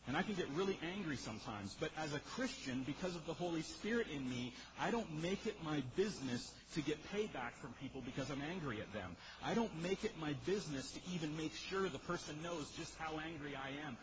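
A man preaching an expository sermon in a powerful voice, emphatic yet conversational, putting questions straight to his listeners. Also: fairly high pitch. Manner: emphatic, conversational, rhetorical questions and direct address. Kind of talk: sermon, expository teaching to a congregation. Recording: muffled sound with clear background noise.

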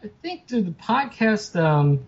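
A man being interviewed as a guest author, chatting casually in a halting, self-deprecating way. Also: high-pitched, big pitch swings, vocal fry. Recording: muffled lo-fi sound with noticeable background noise.

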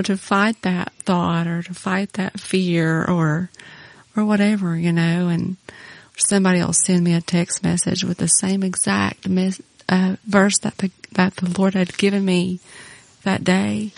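A woman's voice: on the low side, level tone, unhurried, vocal fry, no room sound. A middle-aged woman on a podcast with her husband, speaking earnestly and reflectively with many "you know"s. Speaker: podcast co-host, pastor's wife. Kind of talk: casual faith conversation.

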